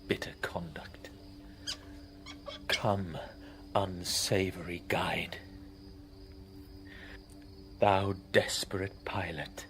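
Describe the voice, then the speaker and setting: An actor playing a grieving young lover bidding farewell to life, in slow, solemn classical radio drama style. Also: male voice, low-pitched, a bit bright, a little hiss or hum, exaggerated voice. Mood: desperate resolve. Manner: slow, solemn, breathy pauses between phrases.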